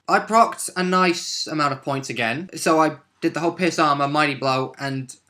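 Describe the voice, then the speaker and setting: A man's voice: on the high side, sharp tone. A man casually talking through his turns as a player in a tabletop role-playing game.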